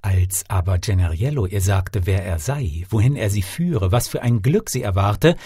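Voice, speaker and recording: A man narrating a fairy tale as a scripted audiobook, theatrical and expressive. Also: lowish voice, moderate pace, breathy edge, no room sound, studio quality.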